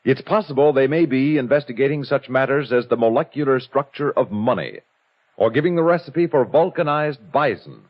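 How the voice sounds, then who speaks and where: American man, radio announcer, animated intonation, polished wry delivery, medium pitch, muffled vintage broadcast. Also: moderate pace. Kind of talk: scripted vintage radio promo read. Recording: dull vintage radio recording.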